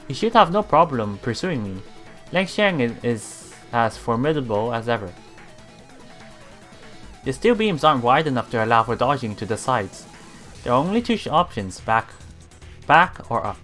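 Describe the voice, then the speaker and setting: Streamer reading a visual novel out loud, kinda stumbly, chatty asides, soft game music behind. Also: mid-range voice. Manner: halting reading, often repeating broken words. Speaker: let's player streamer. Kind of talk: reading game text aloud with casual commentary.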